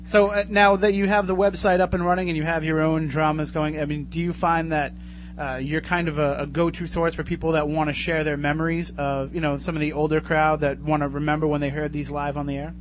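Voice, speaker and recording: A man's voice: a little high, flat delivery, brisk. A man hosting a radio show, chatting casually with guests and callers. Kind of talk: casual interview chat. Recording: clear background noise and hum.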